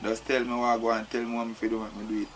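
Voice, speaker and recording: A man's voice: medium pitch, flat delivery, very fast, a little hiss or hum, natural voice. A man playing a streetwise captive who is tied up, speaking in Jamaican Patois, irritable and constantly adding "you know". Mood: reasoning.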